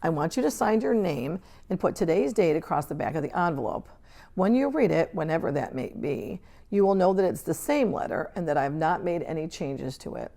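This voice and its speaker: A woman voicing a stern, controlled older female schoolteacher in a storybook, speaking in a measured, precise, formal way. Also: low voice, animated, vocal fry, dark tone, full character voice. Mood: resolute. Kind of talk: storybook read-aloud character dialogue.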